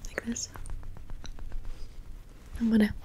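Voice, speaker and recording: A woman whispering softly in an ASMR personal-attention roleplay, gently reassuring, with repeated trigger words and small chatty asides. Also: takes it slowly. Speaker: ASMR creator.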